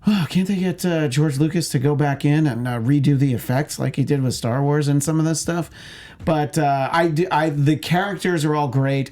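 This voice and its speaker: A man talking casually as a panelist on a film chat show, with an animated manner. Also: mid-range voice.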